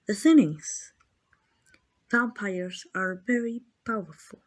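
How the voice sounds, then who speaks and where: Woman acting a slow-talking, teasing vampire villainess. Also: mid-range voice. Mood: coy, measured. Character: arrogant, teasing, possessive female vampire, predatory and condescending. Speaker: amateur voice actor. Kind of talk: ASMR roleplay acting addressed to the listener.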